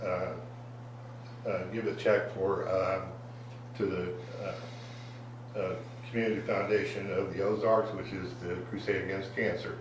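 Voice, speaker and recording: Low-voiced man speaking in a very echoey hall. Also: level tone, a little slow, pauses often, background noise. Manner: hesitant, full of fillers and restarts. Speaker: car club representative presenting a donation. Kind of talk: public remarks at a meeting. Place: council chamber. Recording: very echoey room, distant mic.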